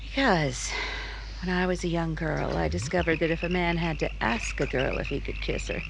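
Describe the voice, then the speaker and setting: A woman playing a proud, teasing, guarded young woman in an old-time radio romance, with a coy, measured delivery. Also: deep voice, vocal fry, noisy background. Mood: coy, cool.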